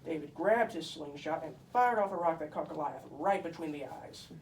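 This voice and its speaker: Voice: mid-range voice, lively intonation, moderate pace, close to an everyday voice. A performer voicing a boastful, bossy puppet storyteller, pompous and emphatic, telling comic Bible tales. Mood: self-important storytelling. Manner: pompous, emphatic, mock-scholarly. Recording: echoey room, distant mic, faint noise.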